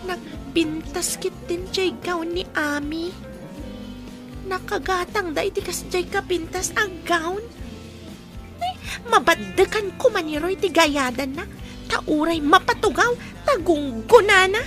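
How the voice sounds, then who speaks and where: A woman's voice: extremely high pitch, slow, music in the background. An actress with a Filipino accent playing a whiny, envious schoolgirl in a radio drama. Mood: envious, bitter. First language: Ilocano. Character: whiny, envious schoolgirl who shuns studying and chores.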